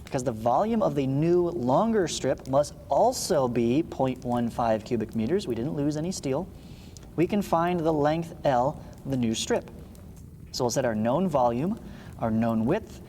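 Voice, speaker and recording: A man's voice: mid-range voice, warm tone, in a large hall, slight background noise. A man teaching a read-along lesson, reading aloud and explaining directly to the listener as he goes. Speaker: geometry teacher.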